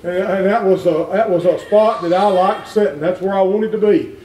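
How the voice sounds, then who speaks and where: High-voiced preacher in echoey church. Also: male voice, normal speed, recorded from a distance, a little hiss or hum. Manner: folksy, plain-spoken, rises to shouting. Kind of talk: revival sermon. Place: wood-paneled church sanctuary. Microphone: far room camera. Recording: echoey church heard from afar.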